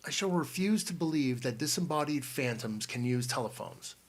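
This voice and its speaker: A man's voice: medium pitch, lively intonation, moderate pace, natural voice. A man reading a pompous, formal boy detective. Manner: formal, precise wording. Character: bookish teenage boy leader, formal and pompous. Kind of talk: audiobook reading.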